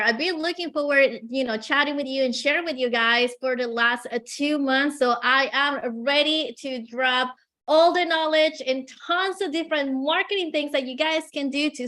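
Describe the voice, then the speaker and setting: A woman from Colombia with a Colombian Spanish accent, Spanish her first language, telling her story as an interview guest in an energetic, earnest way with frequent fillers. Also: high voice, normal speed.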